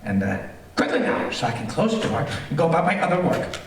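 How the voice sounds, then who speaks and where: A man's voice: on the high side, very animated, fast. A man playing a vain, gloating serial killer in an old-time radio thriller on stage, with theatrical, gleeful cackling and relishing delivery. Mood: commanding. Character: gloating, vain male serial killer showing off his lair. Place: theater, live-streamed stage performance. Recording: echoey theater stage, distant, faint noise.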